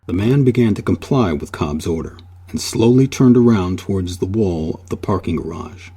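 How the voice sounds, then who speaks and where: Very low, mellow male narrator. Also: expressive, moderate pace, slightly rough. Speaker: host and narrator. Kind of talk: scripted documentary narration.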